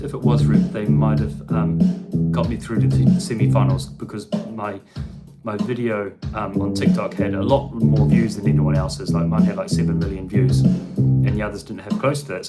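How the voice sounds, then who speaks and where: Casual livestream host, a man from New Zealand, chatty and relaxed, loud music behind him. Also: lowish voice, normal speed. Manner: chatty and easygoing, with frequent ums and restarts. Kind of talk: casual livestream chat answering viewer questions.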